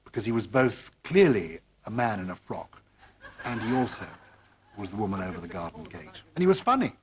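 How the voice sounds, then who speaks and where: Lowish, expressive man in interview. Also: fairly quick. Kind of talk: reflective interview talk. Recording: faintly noisy old tape.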